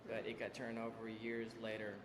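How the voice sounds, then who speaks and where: A man's voice: lowish voice, monotone, slight background noise. Man telling his story in an echoey room. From Vietnam. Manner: halting, many fillers and restarts. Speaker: interview guest, business owner. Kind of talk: casual interview storytelling. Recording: echoey room with long reverb.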